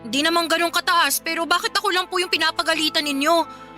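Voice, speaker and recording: A woman's voice: very high-pitched, steady tone, fast talker, bright, forceful tone, faint music behind. A woman playing a put-upon young Filipina daughter in a radio drama, polite but plaintive and meekly protesting. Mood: hurt, protesting.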